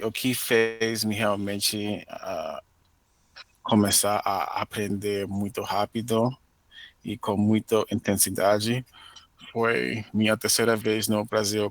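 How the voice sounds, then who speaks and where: Casual: american guy, english native, low voice, pretty flat delivery, stops a lot with little "ah"s, dry close sound. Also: vocal fry, dry recording. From United States (Maryland). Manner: careful learner's speech with frequent "ah" pauses. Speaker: interview guest, Portuguese learner. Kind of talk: podcast interview.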